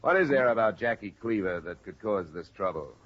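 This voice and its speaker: An American actor playing a tough, wry insurance investigator in a clipped 1950s radio detective style. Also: male voice, fairly low pitch, expressive, fairly quick, slightly rough. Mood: pressing, cool. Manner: clipped, hard-boiled. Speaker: lead actor and narrator. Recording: dull vintage 1950s radio recording.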